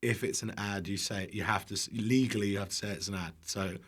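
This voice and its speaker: A man's voice: on the low side, fairly even intonation, fast, slightly rough. A British man talking casually as a podcast guest, chatty and jokey with informal, loose phrasing. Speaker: podcast guest, online cooking content creator.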